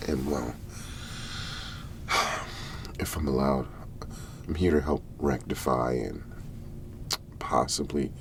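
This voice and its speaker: Male performer as government negotiator, ASMR roleplay. Extremely low, gravelly murmur, hesitant and placating. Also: slight background noise, heavily acted. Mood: hesitant, diplomatic. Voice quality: soft, breathy half-whisper with gravelly low murmur. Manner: halting, deliberate, reassuring, with long pauses.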